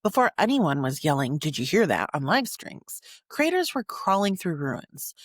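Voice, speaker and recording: Low-voiced woman narrating with lively pitch swings. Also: moderate pace, dry recording, clean studio sound. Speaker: podcast host. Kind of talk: scripted documentary-style narration.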